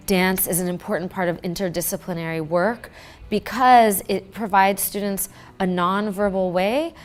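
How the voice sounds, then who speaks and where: A woman teacher calmly explaining her school's dance project in a documentary interview. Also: mid-range voice. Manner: explanatory, measured.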